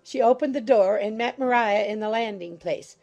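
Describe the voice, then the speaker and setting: A woman's voice: on the high side, normal speed, darkish tone, muffled recording, faint music behind. A woman narrating a classic novel as a scripted, literary audiobook reading.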